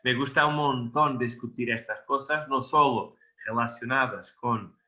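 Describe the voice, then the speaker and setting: A man's voice: medium pitch, a little slow, bright, forceful tone, dull audio. A man with a Portuguese accent, Portuguese as his first language, explaining a technical subject in Spanish, emphatic and repeating words for stress. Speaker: electro-aesthetics expert guest. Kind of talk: technical explanation in a video interview.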